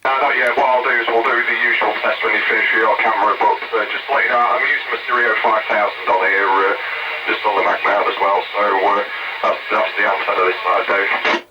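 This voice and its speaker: A man's voice: fairly low pitch, little pitch movement, a little fast, far from the mic. A man with an English accent chatting casually as a radio hobbyist, heard through a CB radio transmission. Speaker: CB radio hobbyist helping with a test. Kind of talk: casual two-way radio chat. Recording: muffled, very noisy CB radio reception.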